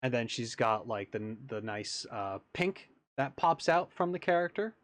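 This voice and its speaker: Male reaction host, casual commentary, easygoing, halting phrasing, mid pitch, dry recording. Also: expressive, a bit bright, dry recording.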